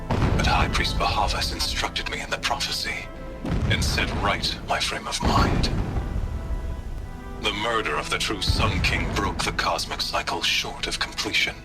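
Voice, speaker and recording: An actor playing a proud, pitiless warrior zealot in a video game, delivering a solemn, grandiose monologue in formal archaic phrasing. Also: male voice, fairly low pitch, animated, measured pace, loud music behind the voice. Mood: solemn, fervent. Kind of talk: dramatic audio-log monologue in a video game.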